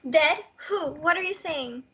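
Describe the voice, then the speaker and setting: A woman's voice: high-pitched, unhurried, a bit bright. A woman playing Juliet, a devoted, anguished young bride, in a student readers theatre. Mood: shocked. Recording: muffled, noisy lo-fi home recording.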